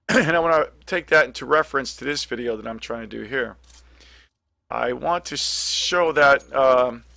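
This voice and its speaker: A middle-aged man with a scratchy, gravelly voice, casually commenting on a game replay, hesitant with many ums and restarts. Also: medium pitch, a little slow, pushed and bright, no room sound. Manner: hesitant, lots of ums and restarts, thinking aloud. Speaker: gaming commentator.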